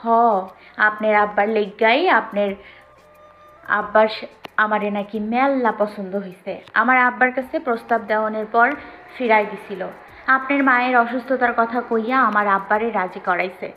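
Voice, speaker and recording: অডিও গল্পে একজন নারী অভিনেত্রী বাংলাদেশের গ্রাম্য আঞ্চলিক টানে এক স্পষ্টভাষী, জেদি ষোলো বছরের বউয়ের চরিত্রে অভিনয় করছেন।. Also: female voice, mid-range voice, normal speed, close to an everyday voice. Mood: resentful. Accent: rural Bangladeshi. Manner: blunt, defiant dialect speech. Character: blunt, proud sixteen-year-old village bride. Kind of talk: audio story drama acting. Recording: dull lo-fi sound with music. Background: music.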